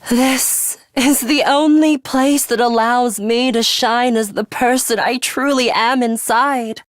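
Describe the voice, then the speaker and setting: A woman's voice: fairly high pitch, fairly even intonation, takes it slowly, dry recording, clean studio sound. A woman playing a serious, coolly arrogant schoolgirl fighter with a bloodthirsty streak in a video game, speaking in a formal, precise, faintly sinister way. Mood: exhilarated. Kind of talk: English video game voice acting, measured monologue.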